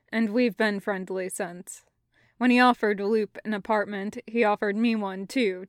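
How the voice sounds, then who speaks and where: A woman voicing a reserved, polite man with dry humour and a soft-spoken, measured delivery in an audiobook-style story. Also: medium pitch, clean tone, no room sound, voice pushed down, lightly acted.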